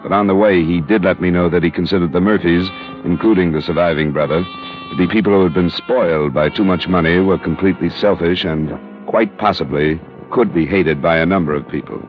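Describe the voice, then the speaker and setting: An actor playing a cool, terse American insurance investigator in a 1950s radio drama, with a dry, matter-of-fact delivery. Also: male voice, deep voice, brisk, faint music behind, lightly acted. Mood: cool, inquiring. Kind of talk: 1950s radio detective narration and dialogue. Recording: dull vintage radio recording.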